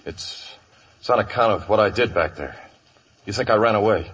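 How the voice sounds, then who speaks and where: An actor playing an earnest, uneasy cowboy with a halting way of talking, in a 1950s radio Western. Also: male voice, lowish voice, a bit bright, breathy edge. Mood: guilty, pleading. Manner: halting, pleading. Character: earnest, uneasy young cowboy, guilt-ridden fiancé. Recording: noisy old 1950s radio broadcast.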